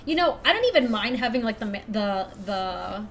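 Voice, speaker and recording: Chatty, giggly woman streamer, fairly high voice. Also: very animated, normal speed, a bit bright, slight background noise. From Ecuador. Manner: chatty, giggly, thinks aloud to chat. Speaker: streamer playing a card game. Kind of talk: casual live-stream commentary.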